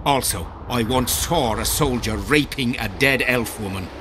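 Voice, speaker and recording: An actor playing a grim, embittered, battle-hardened soldier in a video game, speaking gravely as if haunted. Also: male voice, mid-range voice, a little slow, close to an everyday voice. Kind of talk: video game dialogue acting. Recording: clear ambient noise behind voice.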